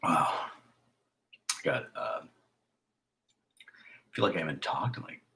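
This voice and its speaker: A man with a raspy voice hosting a casual live stream as a filmmaker, rambling in a chatty way and sometimes dropping to a whisper. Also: sits low, expressive, a little slow. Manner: hushed at times, drifting into whispers; chatty, with fillers and restarts.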